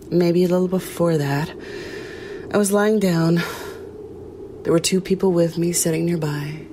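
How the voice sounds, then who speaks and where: A woman playing a haunted, compassionate small-town woman, telling her horror story in a somber, measured audio drama narration with hesitant pauses. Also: fairly low pitch, darkish tone, slight background noise. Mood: hushed, uneasy. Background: music under the voice.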